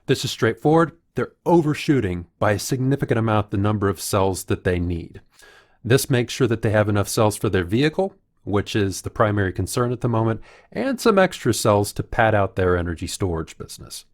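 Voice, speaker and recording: A man delivering analytical commentary in a measured, explanatory way, as a video commentator would. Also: fairly low pitch, big pitch swings. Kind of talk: analytical commentary, reading out quotes.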